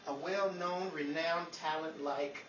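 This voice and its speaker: A woman's voice: low-pitched, fairly even intonation, slow pace, lo-fi sound. A woman playing a girl-crazy, pleading bachelor sidekick with a hesitant, wheedling manner, in 1950s radio comedy style. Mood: coaxing. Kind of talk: 1950s old-time radio comedy acting. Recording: roomy, distant, noisy live audience recording.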